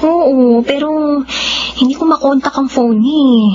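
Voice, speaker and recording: A woman's voice: high voice, a little slow, mellow. An actress playing a caring, anxious young girlfriend with a Filipino accent in a radio drama. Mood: worried. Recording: muffled radio recording, faint noise.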